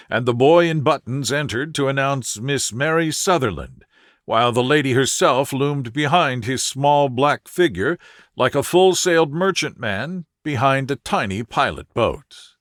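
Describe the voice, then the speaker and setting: Soothing male narrator with expressive intonation. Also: medium pitch, no room sound, clean studio sound. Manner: soothing, measured. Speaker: audiobook narrator. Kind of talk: scripted sleep-story narration.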